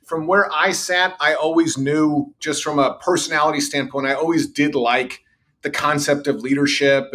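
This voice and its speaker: A middle-aged man, a sales executive, telling confident stories from his career in a podcast interview. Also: a little high. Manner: confident, anecdotal, says he has a loud voice. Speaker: revenue executive guest.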